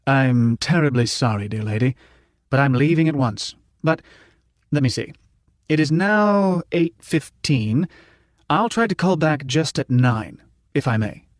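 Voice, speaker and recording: A man's voice: medium pitch, animated, no room sound, clean studio sound, natural voice. A man doing a courtly, fretful old professor. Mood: solicitous. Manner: warm, halting, fussy old-world politeness. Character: older male professor, gentle, courtly, fretful.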